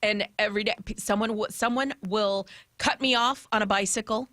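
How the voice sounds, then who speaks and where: A woman hosting lively, unscripted radio chat and putting questions to colleagues at a close studio microphone. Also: medium pitch, brisk, no room sound. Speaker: radio traffic reporter and quiz host.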